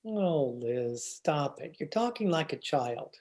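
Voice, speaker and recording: A woman's voice: low-pitched, animated, takes it slowly, mellow. A woman playing a teasing, stubborn husband in old-time radio comedy, with a theatrical, wry and exasperated delivery. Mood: firm, wry. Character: George, teasing, stubborn husband of a 1940s housewife. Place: remote video-call production.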